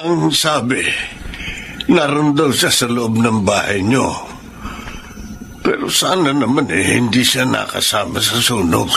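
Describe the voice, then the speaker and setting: An actor playing a grave, kindly old grandfather, speaking with measured compassion in a Filipino radio drama. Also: medium pitch, animated, creaky, a bit bright. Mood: sober, concerned. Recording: faint background noise.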